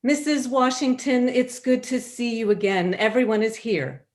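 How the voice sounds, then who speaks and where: Lady doing a fussy polite hostess in a play reading, voice a bit high. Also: moderate pace, a little mellow, natural voice. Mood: gracious, fussy. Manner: polite, genteel. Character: gracious, fussy suburban hostess Miss Redmond.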